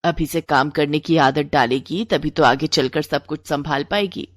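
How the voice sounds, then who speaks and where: A woman voicing a stern, practical older mother-in-law in an animated moral story. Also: low-pitched, normal speed, no echo, clean studio sound, pitched below the performer's own voice. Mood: firm.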